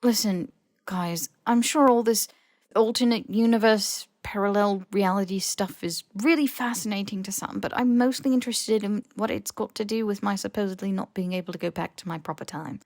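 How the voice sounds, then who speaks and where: A woman's voice: medium pitch, a little mellow, no echo, studio quality, close to an everyday voice. A woman voicing a weary, exasperated male officer who talks in a casual, blunt, insistent way in an audiobook. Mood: exasperated pleading. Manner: informal, halting, insistent.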